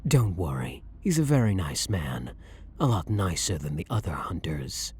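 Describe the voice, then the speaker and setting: A man playing a blunt, brooding vampire hunter, himself a vampire, in a measured, dominant ASMR roleplay with a breathy voice. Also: low-pitched, big pitch swings, darkish tone, exaggerated voice. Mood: calm, guiding. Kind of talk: ASMR roleplay, dramatic monologue.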